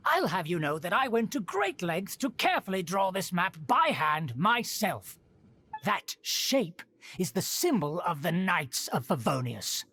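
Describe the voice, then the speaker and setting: Pompous aristocratic uncle, wildly animated. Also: male voice, high-pitched, a little slow, breathy edge, full character voice. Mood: indignant. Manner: pompous, formal, theatrical. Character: haughty aristocratic uncle scheming to restore his clan's rule. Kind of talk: video game voice acting.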